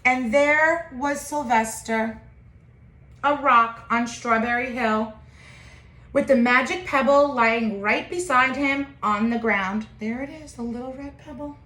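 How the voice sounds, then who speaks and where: A woman teacher reading a children's picture book aloud, with expressive, deliberate phrasing and emphasis. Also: high-pitched, echoey room.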